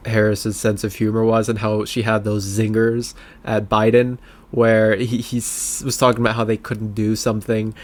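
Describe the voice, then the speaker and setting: A man co-hosting a casual political podcast, bantering in a sardonic, mocking manner. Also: lowish voice. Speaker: podcast co-host.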